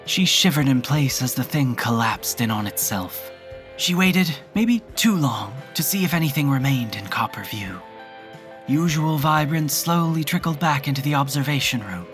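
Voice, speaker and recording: Measured narrator over soft music. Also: medium pitch, animated. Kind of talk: scripted dramatic fiction narration.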